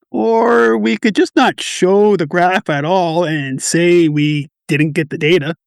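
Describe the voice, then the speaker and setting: A man's voice: sits high, slow pace, creaky, smooth, dry recording, studio quality, full character voice. A man playing a stressed, defensive quality assurance manager in a radio play, touchy and wry. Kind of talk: amateur radio play dialogue.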